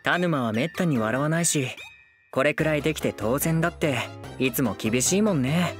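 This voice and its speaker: An actor playing a playful, affectionate and lazy young shogun who wheedles and pouts theatrically in a comic anime drama. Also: male voice, a little high, animated, normal speed, soft background music, natural voice. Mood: teasing. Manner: sing-song wheedling, theatrical pouting. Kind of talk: anime voice drama comedy acting.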